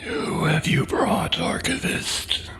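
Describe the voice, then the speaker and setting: A man voicing a sinister personified sin in a fantasy audio drama, in a slow hissing whisper. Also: fairly bright tone. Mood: menacing.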